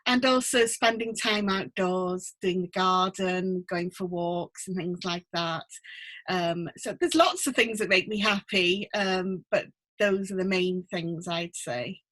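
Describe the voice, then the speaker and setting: A middle-aged woman from North Wales, a guest talking gently and thoughtfully over a video call, with many ums and restarts. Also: on the low side, a little slow. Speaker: guest, book mentor. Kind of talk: casual interview chat. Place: home room with full bookcase.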